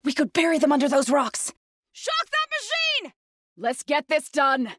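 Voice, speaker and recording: Intense, bright-voiced young warrior woman. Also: sits high, huge pitch swings, measured pace, no room sound, studio quality, heavily acted. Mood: earnest, alert. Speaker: video game voice actor. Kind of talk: scripted game combat barks and companion lines.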